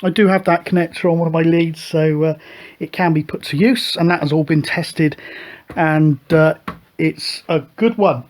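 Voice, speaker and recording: A middle-aged man talks through his radio gear in a loose, rambling way, dotting his speech with "uh" and "so". His voice sits fairly high for a man. He sounds relaxed and unscripted, like a hobbyist chatting to regular viewers. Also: moderate pace. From United Kingdom. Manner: relaxed, rambling, many fillers. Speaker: radio hobby show host. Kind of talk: casual show-and-tell chat.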